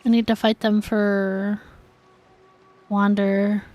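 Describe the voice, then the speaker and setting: A woman's voice: mid-range voice, flat delivery, takes it slowly, darkish tone, a little hiss or hum. A woman livestreaming a video game, chatting casually in an easily amused, giggly manner. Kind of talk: casual livestream gameplay chat.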